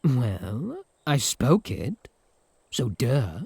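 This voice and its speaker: A man's voice: medium pitch, huge pitch swings, drawn-out words, gravelly, darkish tone, breathy edge, no echo, heavily acted. A British man voicing a smug, possessive incubus suitor in a comedic ASMR roleplay, sarcastic and flirtatious. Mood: dry, mocking.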